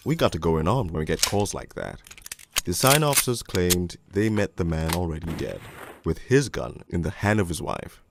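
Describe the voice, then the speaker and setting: A man playing a crude, cynical police detective, narrating a crime storybook in the first person in a gravelly, world-weary, deliberate way. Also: low voice, expressive, noisy background. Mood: cynical, brooding. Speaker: narrator and lead voice actor in an animated storybook.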